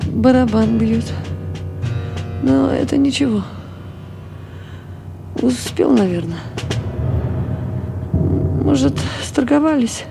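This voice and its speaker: An anxious trader mother in a Soviet radio play. She speaks very slowly, with a gravelly creak, brooding and repeating herself over clearly audible music. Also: a little high, heavily acted. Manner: slow, repetitive, brooding speech. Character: anxious, hard-bargaining mother, a war-time canteen trader. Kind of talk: Soviet radio drama acting.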